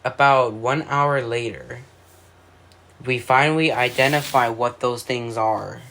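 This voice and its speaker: A man's voice: mid-range voice, steady tone, a little slow, vocal fry, bright, forceful tone. A man doing amateur scripted narration in an announcer-like way, stumbling over words at times. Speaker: video creator narrating own fictional alert scenario. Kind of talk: amateur scripted narration of mock emergency broadcasts. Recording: noticeable background noise.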